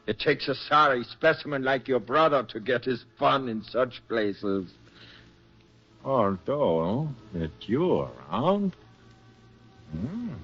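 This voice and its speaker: A German officer from a wartime radio play. He is curt and sneering, with a slow, leering delivery at medium pitch. The old recording is dull and muffled. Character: brusque, sneering German officer hunting a spy. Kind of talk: 1940s radio acting. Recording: muffled vintage radio with music bed.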